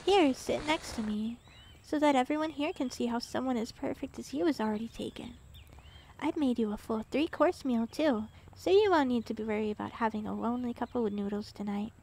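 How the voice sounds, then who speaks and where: A woman voicing a possessive, obsessive young girlfriend in an ASMR roleplay, speaking sweetly and intimately with soft whispers and a creepy edge under the doting. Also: on the high side, brisk. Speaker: ASMR voice actress.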